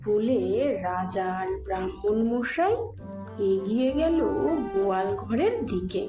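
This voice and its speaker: A woman's voice: mid-range voice, animated, slow. A woman reading scripted storybook narration for a cartoon tale. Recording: echoey, clear music behind.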